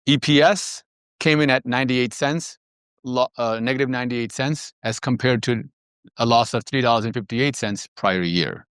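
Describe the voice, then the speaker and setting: Measured businessman delivering a financial report, dry sound. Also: medium pitch, fairly bright tone, clear, resonant tone, no room sound. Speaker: company finance executive. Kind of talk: earnings call presentation, financial report.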